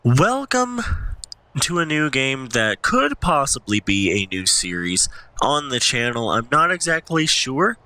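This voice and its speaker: A man's voice: mid-range voice, sing-song, unhurried, bright, forceful tone, dry recording. A man with a stuffy nose from allergies, casually commentating his gameplay as a channel host, rambling and thinking aloud.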